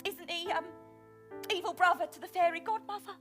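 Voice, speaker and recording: Scheming pantomime townswoman, acted by a woman, very high and theatrical, with clear music behind. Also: steady tone, fairly quick, fairly bright tone. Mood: prompting. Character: pantomime townswoman, a dramatic, scheming parent of a selfish girl. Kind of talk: live comic pantomime acting.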